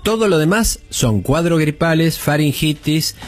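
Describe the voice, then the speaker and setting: A man's voice: on the high side, a little slow, soft background music. A middle-aged man with an Argentine accent, a doctor patiently explaining medical matters on a talk show. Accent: Argentine Spanish. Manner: calm, didactic, explains at length. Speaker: doctor guest. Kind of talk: explanatory radio chat.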